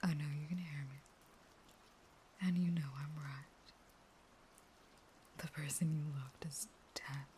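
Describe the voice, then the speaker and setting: A woman playing a starving, caged vampire lover in an intimate audio roleplay, breathy and mostly whispering, unstable, possessive, pleading and threatening. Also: low voice, steady tone, a little slow, long pauses, mellow, a little hiss or hum. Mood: bitter, threatening.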